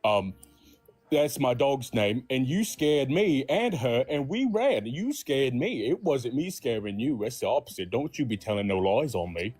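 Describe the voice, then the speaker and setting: A man's voice: mid-range voice, sing-song, unhurried, darkish tone, breathy edge, no echo, soft background music. A man voicing a folksy, easily offended male Martian fire yeti with a Southern US accent, rambling in a comedy podcast. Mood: indignant. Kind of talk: comedic improv character.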